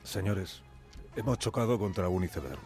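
A calm older sea captain, gives grave orders in a deep voice. It is authoritative radio drama acting, with music behind. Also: male voice, normal speed. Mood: grave, commanding. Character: calm, commanding older male ship's captain.

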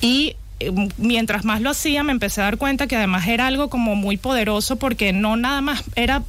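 Venezuelan woman, reflective guest. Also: on the high side. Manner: relaxed, reflective, with frequent "eh" pauses. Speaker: guest artist. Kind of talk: radio interview.

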